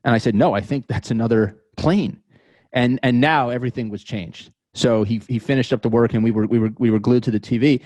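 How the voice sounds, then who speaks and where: An American man in his thirties telling personal stories as an interview guest, thoughtful and halting, often repeating words as he goes. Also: mid-range voice, a little mellow, no echo. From New York metropolitan area, United States. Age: 30s. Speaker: podcast guest. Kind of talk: reflective interview storytelling.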